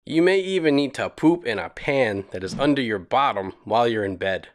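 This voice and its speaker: Male narrator for kids' explainer cartoon; lively, swinging intonation, reassuring, simple delivery. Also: medium pitch, moderate pace. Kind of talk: scripted narration for a children's educational cartoon.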